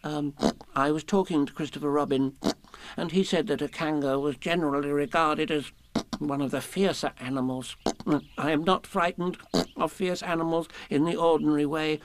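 A man voicing a very small, anxious animal from a storybook, hesitant and fidgety, with a creaky quaver. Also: fairly high pitch, steady tone, normal speed, pitched above the performer's own voice, natural voice. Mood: nervous. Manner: hesitant, fidgety, with nervous ums and little coughs.

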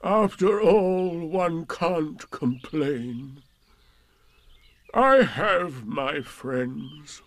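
A man voicing a gloomy, pompous old donkey in a storybook, declaiming sternly in a ponderous, drawn-out way. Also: on the high side, expressive, dry recording, pitched above the performer's own voice. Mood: self-pitying. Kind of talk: storybook character voice.

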